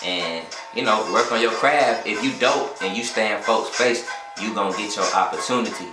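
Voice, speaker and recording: A man's voice: fairly low pitch, moderate pace. A young Southern man from Atlanta, talking in a laid-back drawl and often saying "you know what I'm saying". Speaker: rapper. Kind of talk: freestyle rap verse, then casual interview talk. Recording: echoey room with loud music behind.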